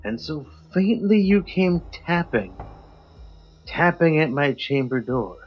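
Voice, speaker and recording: A man co-hosting a podcast, giving a theatrical poetry reading that swings between loud highs and hushed drops. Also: very animated, takes it slowly, slightly rough. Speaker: podcast co-host. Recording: slightly muffled with faint music.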